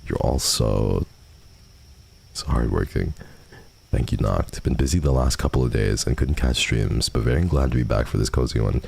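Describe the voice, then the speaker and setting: A man's voice: extremely low pitch, normal speed, vocal fry, darkish tone, slightly rough. A man hosting a livestream, chatting casually and answering viewer messages at a close microphone. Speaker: livestream host. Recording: faint background noise.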